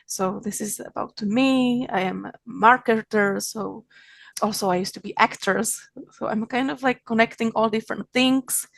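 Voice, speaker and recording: Czech-accented woman, halting and friendly. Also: a little high, gravelly, no echo. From Czech Republic. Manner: warm, slightly halting non-native English with fillers. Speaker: guest, magazine founder. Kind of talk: casual interview chat.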